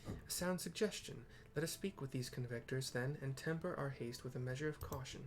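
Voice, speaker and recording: A man's voice: mid-range voice, fairly quick, slight background noise, natural voice. A man voicing a courteous, earnest young nobleman in a fantasy game, with formal, archaic phrasing.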